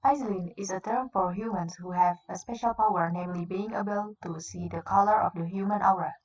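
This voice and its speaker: A woman's voice: mid-range voice, steady tone, fairly quick, a little mellow, distant mic, dull audio, lightly acted. A woman with an Indonesian accent playing a composed leader of a secret society in a radio drama, explaining things formally. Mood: formal, welcoming. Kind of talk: radio drama, scripted address.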